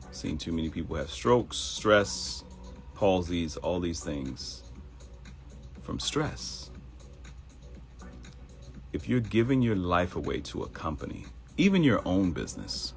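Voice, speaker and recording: A middle-aged man with a Baltimore accent talks to his live audience in a low, deep voice. His pitch swings widely as he tells stories and acts out quoted voices. Soft music plays faintly behind him. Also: gravelly. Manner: animated, acts out quoted voices, addresses viewers directly. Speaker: host of a live morning show. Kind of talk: casual livestream talk.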